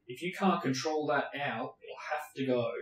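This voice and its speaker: A man voicing a blustering, bad-tempered middle-aged uncle, slow and bellowing, in a roomy space. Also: mid-range voice, close to an everyday voice. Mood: angry. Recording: roomy echo.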